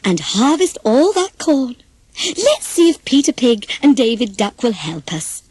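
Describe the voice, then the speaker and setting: A woman acts out a patient little mother hen from a children's storybook. She is high and slightly husky, polite and knowing, coaxing her listeners along with lots of lilt. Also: sing-song, a little slow, darkish tone, dry recording, exaggerated voice. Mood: friendly, coaxing.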